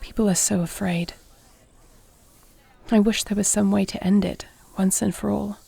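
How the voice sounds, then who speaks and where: Grave, creaky-voiced warrior queen, acted by a woman. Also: medium pitch, little pitch movement, dark tone. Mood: somber, sad. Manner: measured, earnest, formal phrasing. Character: duty-bound warrior dragon queen, tender but grave wife. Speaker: voice actor. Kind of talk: intimate audio roleplay acting.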